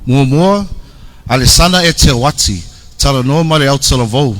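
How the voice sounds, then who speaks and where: A man presenting at a church youth event, speaking carefully and answering a question with pauses. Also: mid-range voice. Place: church hall.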